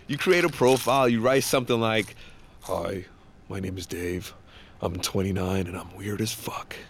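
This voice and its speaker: An actor playing a brash, foul-mouthed war-veteran coworker, blunt, pushy and joking, in a naturalistic audio drama. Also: male voice, sits low, normal speed, slight background noise. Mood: pushy, teasing.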